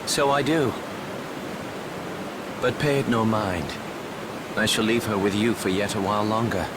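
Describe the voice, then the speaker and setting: An actor playing a proud, guarded young knight in a fantasy game, speaking in measured, formal archaic phrases. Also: male voice, on the low side, very noisy, lightly acted. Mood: cool, calculating. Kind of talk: dramatic fantasy game voice acting.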